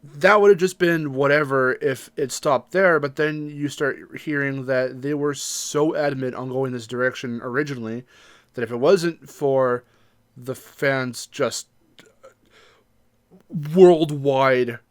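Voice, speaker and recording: Casual male podcast co-host, medium pitch, opinionated and emphatic, recorded very dry. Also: measured pace, no echo. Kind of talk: casual discussion podcast chat.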